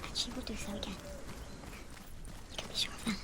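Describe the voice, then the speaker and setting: A woman's voice: mid-range voice, fairly even intonation, close to an everyday voice. Whispering, eerie woman narrator played by an actress. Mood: eerie, knowing. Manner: hushed, deliberate, ominous. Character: whispering female narrator voice in a warrior's head, eerie, knowing. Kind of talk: intimate whispered dramatic game narration.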